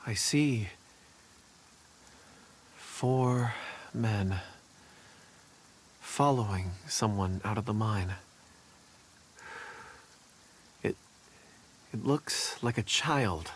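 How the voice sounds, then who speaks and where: A man's voice: on the low side, very slow, long pauses, a little hiss or hum. A man voicing a shy, polite young man in a video game, hesitant and prone to stammering. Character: polite, shy young man who reads memories in ore.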